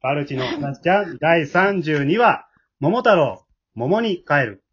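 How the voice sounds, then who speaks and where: A man with a Kansai accent hosting a radio drama show from home in a lively way, on a muffled remote recording. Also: fairly high pitch, unhurried, noisy background. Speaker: host and leader of an amateur radio drama group.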